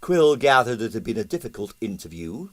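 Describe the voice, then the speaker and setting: A man's voice: on the low side, big pitch swings, fairly quick, no room sound. A man reading a story aloud as dramatic scripted narration. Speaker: audiobook narrator.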